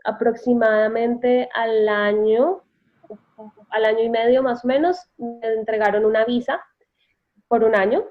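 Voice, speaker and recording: A woman's voice: a little high, steady tone, measured pace. Woman on a tinny call line. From Bogotá, Colombia. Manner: thoughtful, with hesitations and self-corrections. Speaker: interview guest, immigrant. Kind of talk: casual interview answers. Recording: tinny phone-like call audio.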